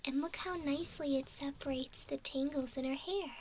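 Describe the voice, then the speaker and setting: A woman playing a bookish, doting mermaid in an ASMR roleplay, speaking gently and a little formally, often in a whisper. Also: high-pitched, moderate pace, a little hiss or hum, natural voice. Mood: thoughtful. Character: bookish, doting young female mermaid named Nemu.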